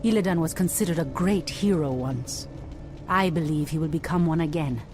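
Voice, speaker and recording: An earnest, commanding elf priestess voiced by a woman in a game cinematic. Her voice sits on the low side, and a loud orchestral score plays behind her. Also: normal speed, soft background music, natural voice. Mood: resolute. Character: resolute female elf priestess and leader. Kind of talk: video game cinematic acting.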